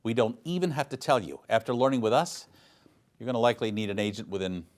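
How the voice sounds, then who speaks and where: A man's voice: mid-range voice, quick pace. A man presenting scripted local community news as an anchor.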